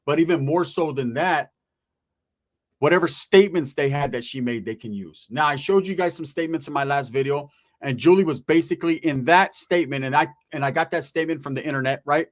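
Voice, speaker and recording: A man with a slightly high voice gives informal online commentary. He speaks straight to his viewers in slangy street talk, pressing points with rhetorical questions and tag questions, and swears casually. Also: fairly quick. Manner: emphatic, punctuated with rhetorical questions and "right?".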